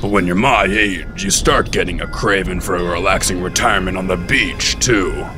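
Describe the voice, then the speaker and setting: A man voicing a gruff, blunt, aging war veteran soldier in a fan-dubbed comic. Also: low-pitched, moderate pace, close to an everyday voice. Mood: determined, gruff. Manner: blunt, drill-sergeant barking. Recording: music behind the voice.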